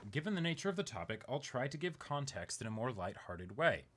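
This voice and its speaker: A young man hosting an online video, casually reading aloud in a halting, chuckling way while seated indoors. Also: medium pitch, very animated, a bit bright. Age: young adult. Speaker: YouTube unboxing host. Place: small indoor room, seated.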